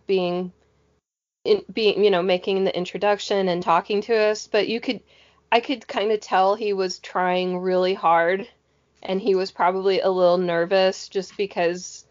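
A woman's voice: medium pitch, a little mellow. A woman co-hosting a podcast in casual chat, rephrasing as she goes. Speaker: podcast co-host. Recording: dull lo-fi podcast audio.

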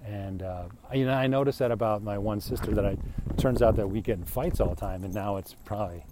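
A man's voice: fairly low pitch, a little fast, background noise. A man in his fifties talking casually on a solo podcast, rambling and self-deprecating, often tossing in "right?". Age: 50s. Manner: chatty, self-deprecating, frequent "right?" asides.

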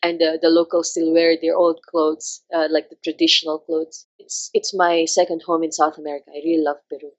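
A woman with a low voice, English not her first language, talks casually as an interview guest. She chats freely, laughs often and leans on fillers as she goes. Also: flat delivery, brisk, hardly any pauses, rough recording. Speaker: travel blogger guest.

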